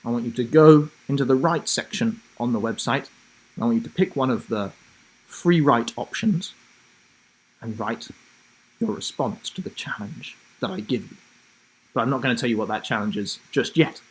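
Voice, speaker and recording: A man chatting and reading a story to children as host of a live broadcast, speaking deliberately in short emphatic phrases. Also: mid-range voice, slightly rough, low-quality recording. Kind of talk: friendly instructional chat and storybook reading for children.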